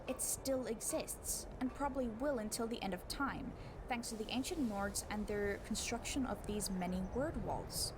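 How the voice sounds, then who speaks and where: Woman reading aloud over noticeable noise. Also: fairly high pitch. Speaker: book narrator giving a voice demo. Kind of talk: storybook read-aloud, scripted narration.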